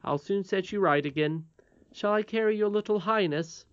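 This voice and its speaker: A woman's voice: mid-range voice, normal speed, smooth, dry recording, highs cut off, voice pushed up, lightly acted. A woman reading a storybook aloud, voicing a confident, cheerful twelve-year-old miner boy with a frank, matter-of-fact manner.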